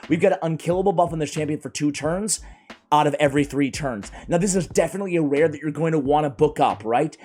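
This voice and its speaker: Hoarse, chatty gaming commentator man. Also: a little high, quick pace. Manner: energetic, chatty, keeps addressing viewers as guys. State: strained, worn-out voice from a cold. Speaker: gaming YouTuber. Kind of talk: casual game review commentary.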